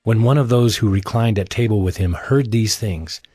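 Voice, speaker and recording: Low, husky male narrator. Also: normal speed, mellow, no echo, clean studio sound, faint music behind. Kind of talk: scripted scripture narration for audio drama.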